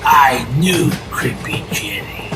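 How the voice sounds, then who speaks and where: Man voicing a slow, ominous sea pony doomsayer. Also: medium pitch, animated, vocal fry, loud music behind the voice. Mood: ominous, wistful. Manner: ominous, theatrical, portentous. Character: gloomy, eccentric male sea pony doomsayer. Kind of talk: animated cartoon voice acting.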